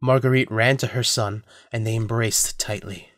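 A man's voice: on the low side, fairly even intonation, normal speed, a bit bright, no echo, studio quality. A man narrating a scripted audio drama as a storyteller.

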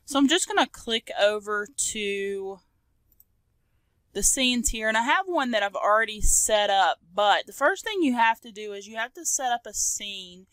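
A woman hosting a casual software tutorial, explaining step by step in an informal, conversational way. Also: on the high side, expressive, moderate pace, smooth, no room sound. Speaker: tutorial host.

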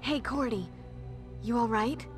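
An actress playing a wisecracking, brave teenage vampire slayer in a video game, with a flip, breezy delivery. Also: female voice, fairly high pitch, measured pace, over music, natural voice. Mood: breezy, confident.

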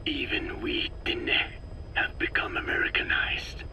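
An actor playing a proud, picky old sage in a video game, with a whispery rasp and solemn, formal phrasing. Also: male voice, a little slow, bright, forceful tone. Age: older. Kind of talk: scripted video game drama.

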